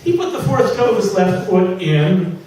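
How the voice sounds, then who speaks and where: A man with a slightly high voice reads comic fantasy aloud as its author. His delivery is theatrical and emphatic. It is recorded from far off in a very echoey room, with faint noise behind. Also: sing-song, normal speed, a little mellow. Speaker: author reading from own novel. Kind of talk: live dramatic reading of comic fantasy fiction. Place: public reading at an indoor venue.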